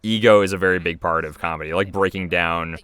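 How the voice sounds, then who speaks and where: A man giving casual, rambling, self-critical commentary on his own animated series as its creator. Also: low-pitched, fast.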